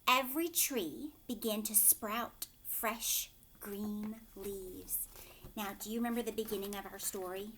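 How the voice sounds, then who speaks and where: A woman teacher reading a storybook aloud to children, expressive, emphatic on key words and often asking questions. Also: mid-range voice, measured pace, a little hiss or hum.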